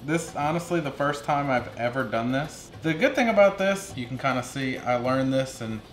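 A man's voice: mid-range voice, expressive, normal speed. A man hosting a casual home cooking video, cracking jokes and bantering with his wife. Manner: joking, playful banter. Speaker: cooking show host. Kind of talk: casual cooking vlog chat. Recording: echoey room, faint music.

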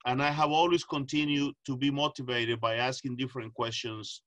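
A middle-aged man from Costa Rica speaks on a video call, pausing often with fillers as he explains his work. His voice is bright and forceful, at a middling pitch. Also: flat delivery. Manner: thoughtful, many "uh" fillers, earnest. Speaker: panelist, agricultural economist. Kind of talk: casual panel answer. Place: home, video call with virtual background. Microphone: webcam or laptop mic on video call.

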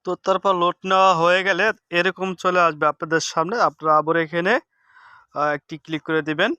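A man talks through a casual how-to in a fairly high, very smooth voice. He is instructive and often repeats each step. The sound is dry and close, with little room echo. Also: steady tone, fast, sharp tone. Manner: instructive, repeats steps and seeks confirmation. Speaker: tech tips host. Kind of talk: casual screen-recording tutorial.

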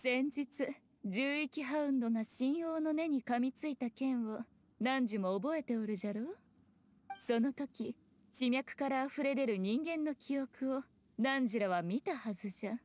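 A woman's voice: sits high, a little slow, a little mellow. A woman playing a sly, elegant fox shrine maiden in a video game, with composed, archaic noble diction. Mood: composed, explaining. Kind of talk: Japanese video game acting.

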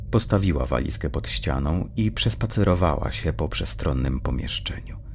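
A man narrating dark fiction from a script in a measured, suspenseful, dramatic storyteller's manner. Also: low-pitched, creaky, a little hiss or hum.

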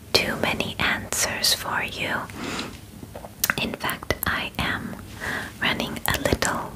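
A woman's voice: close to an everyday voice, low-quality recording. Whispering alien examiner, played by a woman. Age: young adult. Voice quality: breathy whisper. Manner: slow, halting, formal phrasing without contractions. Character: gentle, clinical, slightly hurried alien examiner. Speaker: ASMR roleplay performer. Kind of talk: ASMR whispered roleplay. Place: small bedroom, indoors. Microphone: close microphone.

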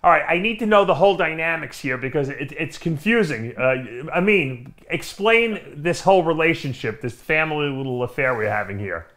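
A middle-aged man hosting a video interview show from a studio, asking questions with lots of quick interjections. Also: fairly high pitch. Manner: energetic, interjecting often. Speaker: host. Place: studio with branded backdrop.

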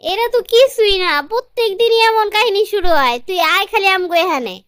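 A woman voicing an excitable, pushy young gossip in a comic cartoon, with a Bangladeshi accent. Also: very high-pitched, fairly quick, no room sound, clean studio sound. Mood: knowing. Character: excitable, bossy young female gossip, best friend.

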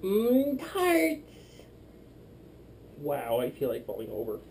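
A man's voice: fairly high pitch, wildly swooping pitch, slow pace, creaky, a little mellow, a little hiss or hum. A drunk young man rambling and playing silly while he bakes in a small home kitchen, recorded by a camera across the room. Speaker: vlogger. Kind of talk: drunken cooking demo vlog.

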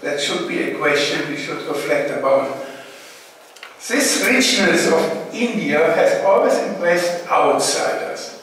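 A man in his sixties from Germany, a native German speaker with a German accent, gives a formal academic lecture in a deliberate, emphatic way, phrase by phrase. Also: a little high, animated, a bit bright. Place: indoor hall, standing at a lectern. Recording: echoey hall, distant mic, faint noise.

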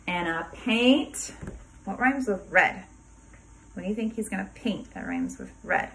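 A woman kindergarten teacher reading a rhyming picture book aloud to young children in a sing-song way, pausing dramatically before rhymes and asking questions. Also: mid-range voice, wildly swooping pitch, fairly bright tone, slight background noise, rough recording.

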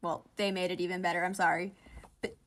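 A woman's voice: on the low side, level tone, quick pace, a bit bright. A young woman reading comics aloud and reacting casually as a fan, in a gushing, giggly, sweary way.

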